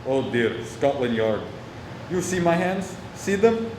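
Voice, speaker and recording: A man's voice: fairly high pitch, lively intonation, takes it slowly. A man playing a mocking, menacing old professor in a radio drama. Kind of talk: radio play acting. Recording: echoey, clearly noisy room.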